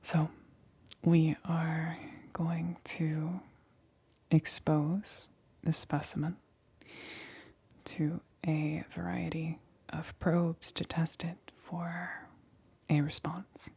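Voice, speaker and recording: A woman playing a nervous, methodical lab assistant in a whispered ASMR roleplay, speaking haltingly in a breathy whisper. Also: low-pitched, flat delivery, a little mellow, full character voice. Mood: cautious, clinical. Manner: hesitant, halting, repeats reassurances.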